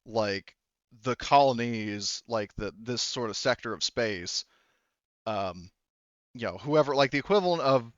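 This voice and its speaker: A man's voice: medium pitch, lively intonation, dry recording. Man game master, dull dry recording. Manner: halting, explanatory, often rephrasing. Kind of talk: casual tabletop game narration.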